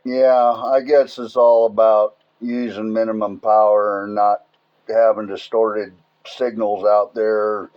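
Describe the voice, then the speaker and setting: A man's voice: fairly low pitch, little pitch movement, slow, clear, resonant tone, dull audio. An American man chatting casually as a radio operator in a rambling, folksy way. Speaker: amateur radio operator, channel owner recording contacts.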